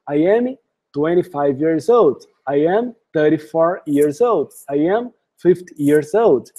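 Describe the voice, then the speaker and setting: A man with a Brazilian Portuguese accent teaching English phrases in a lesson, saying example sentences slowly and deliberately, then translating them. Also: a little high, mellow, breathy edge. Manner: slow, deliberate model phrases alternating with translations. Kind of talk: screen-recorded language lesson.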